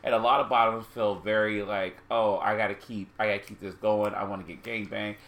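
A man's voice: lowish voice, steady tone, a little fast, fairly bright tone. A man of about forty co-hosting a candid chat, rambling and losing his thread. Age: 40s. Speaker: podcast co-host.

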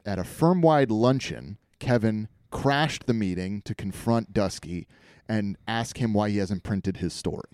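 A man's voice: on the low side, unhurried, darkish tone, no echo, clean studio sound. A man hosting a podcast, telling a comedic story in a wry, irreverent way. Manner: wry, irreverent, swears casually.

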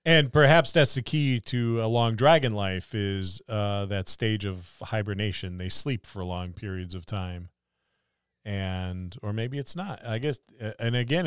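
A man's voice: fairly low pitch, unhurried, clear, resonant tone, dry recording, clean studio sound. A man co-hosting a podcast in casual, conversational discussion of a hobby. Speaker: podcast co-host. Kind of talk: casual tabletop gaming discussion.

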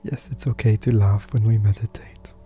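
A man leading a guided meditation with affirmations, soft-spoken and soothing, leaving unhurried pauses. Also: on the low side, steady tone, soft background music.

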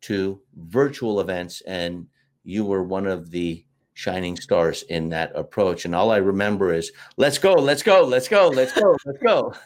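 A man's voice: low voice, unhurried. A middle-aged American man, a marketing teacher talking at length as an interview guest, in an expansive storytelling way with fillers. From United States. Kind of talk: casual interview talk.

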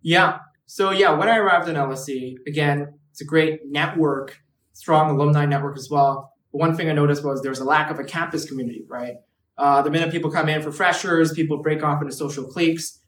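A man's voice: fairly high pitch, steady tone, fairly quick, a bit bright, far from the mic. A man in his twenties from Hong Kong, a guest chatting casually on a podcast with lots of "like" and "right". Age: 20s. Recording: roomy echo, away from the mic.

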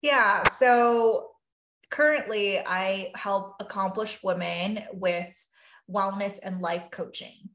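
A woman's voice: medium pitch, takes it slowly, fairly bright tone, reverberant, rough recording. A woman from Taiwan, a physician and life coach, talking earnestly and thoughtfully as a podcast guest, often saying "you know" and "really". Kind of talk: reflective podcast conversation.

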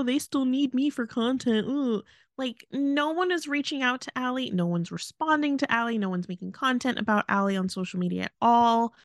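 Higher-voiced exasperated woman podcast co-host. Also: a bit bright, no echo, clean studio sound. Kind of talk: casual commentary chat.

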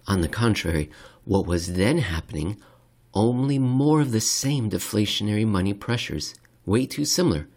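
A man with a fairly low voice reads a scripted commentary aloud. His delivery is measured and theatrical, and he sets phrases apart with deliberate rhetorical pauses. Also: expressive, darkish tone. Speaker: reader of a financial commentary podcast. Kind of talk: dramatic scripted essay reading.

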